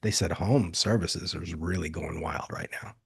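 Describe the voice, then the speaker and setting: A man's voice: low voice, normal speed, creaky, a bit bright, husky. An American man hosting a podcast, talking in a conversational, rambling way and laughing as he tells stories. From United States. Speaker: podcast host. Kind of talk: casual interview.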